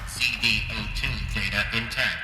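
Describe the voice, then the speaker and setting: Man voicing male computer announcer, lowish pitch, flat, clipped, impersonal, game, echoey with loud noise. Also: moderate pace, close to an everyday voice.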